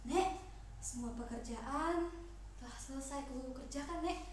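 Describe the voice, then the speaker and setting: Woman as soft, timid stepdaughter, very echoey. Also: sits high, measured pace, far from the mic, slight background noise. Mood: pleading. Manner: soft, hesitant, pleading. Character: gentle, timid, obedient young stepdaughter. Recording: very echoey room.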